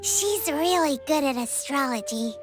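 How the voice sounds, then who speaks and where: Tiny squeaky fairy companion. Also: female voice, lively intonation, measured pace. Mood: chatty, frank. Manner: childlike, exclamatory, blunt. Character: small childlike fairy companion, chirpy, frank, a bit cheeky. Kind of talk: video game voice acting. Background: music.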